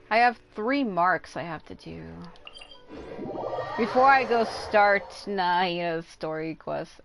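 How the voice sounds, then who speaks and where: A woman doing casual, rambling livestream commentary while playing a video game, informal and quick to exclaim at what happens. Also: mid-range voice. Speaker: game streamer. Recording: game music behind the voice. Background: video game music.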